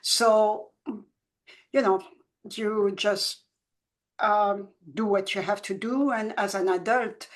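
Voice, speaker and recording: Older woman around 70s, grew up in france, soft halting voice, lots of restarts, chatting about her book. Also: mid-range voice. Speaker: children's book author, guest. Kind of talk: reflective conversational interview.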